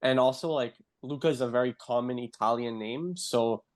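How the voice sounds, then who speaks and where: A young adult man from Canada with a Canadian accent, a guest in a casual interview, talking in a relaxed way and saying "like" often. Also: mid-range voice, normal speed. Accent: Canadian English. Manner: relaxed, filler-heavy, says "like" often.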